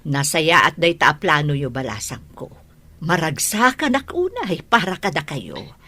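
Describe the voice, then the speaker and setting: A woman's voice: sits low, big pitch swings, a little slow, bright, forceful tone, heavily acted. A woman with a Filipino accent playing a supportive, affectionate middle-aged aunt in an audio drama. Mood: affectionate, encouraging.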